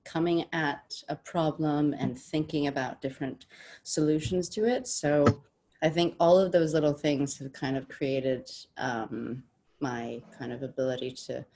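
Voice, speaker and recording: A woman's voice: rumbling low, fairly even intonation, measured pace, mellow, a little hiss or hum. A woman with an American accent, a panelist on a video call, chatting casually about her career with many fillers and frequent laughs. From half Danish, half Swedish, raised partly in the US. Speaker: panelist, business development director.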